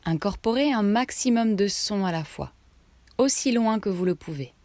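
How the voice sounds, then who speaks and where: A woman guiding a relaxation meditation, giving instructions in short phrases with pauses between them. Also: mid-range voice, lively intonation, darkish tone, dry recording. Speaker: yoga nidra meditation guide.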